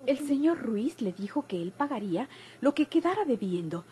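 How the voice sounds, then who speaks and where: An actress playing an excitable, nosy young daughter with a Mexican accent in an old radio drama. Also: female voice, on the high side, moderate pace, slight background noise. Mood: breathless, confiding. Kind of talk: golden-age Mexican radio drama acting.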